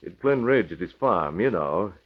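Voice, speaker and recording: Masked crime-fighter, deep voice, commanding, coolly menacing, sardonic, old radio serial acting, muffled recording. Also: male voice, animated, normal speed. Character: confident, stern masked vigilante, coolly menacing.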